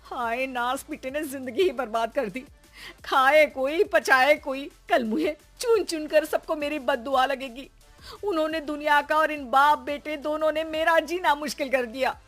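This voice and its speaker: A woman playing an exasperated, sharp-tongued Indian mother who scolds and nags with theatrical sarcasm in a comic audio drama. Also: high-pitched, a little slow, faint music behind, voice pushed up.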